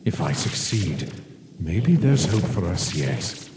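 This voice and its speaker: A man playing a cold, condescending monster scientist obsessed with his work, speaking formally and deliberately in a dramatic comic dub. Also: low voice, very animated, background noise, exaggerated voice. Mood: brooding, ambitious. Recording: echoey hall reverb, music behind.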